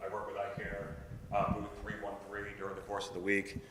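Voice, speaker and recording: A man speaking on a conference panel, loud and projecting without a microphone. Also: on the low side, monotone, fairly quick, noisy background. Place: conference session room. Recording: long hall reverb.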